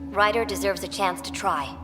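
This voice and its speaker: A woman's voice: mid-range voice, moderate pace, music in the background, lightly acted. An actress playing an earnest, supportive woman officer in a sci-fi video game. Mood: resolute. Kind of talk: naturalistic video game cutscene acting.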